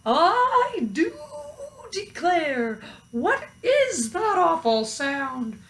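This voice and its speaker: A woman's voice: extremely high pitch, big pitch swings, extremely slow, voice pushed up, heavily acted. A woman doing a prim, chatty cow. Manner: prim, exclaiming. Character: chatty, excitable cow joyriding a truck. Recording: echoey room.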